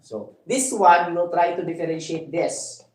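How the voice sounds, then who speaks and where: A woman's voice: lowish voice, normal speed, reverberant. A woman with a Filipino accent teaching a math lesson on a livestream, walking through each step and adding "okay?" and "no?" to check on her viewers. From Philippines. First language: Cebuano. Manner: explains step by step, adds tag questions like "no?" and "okay?".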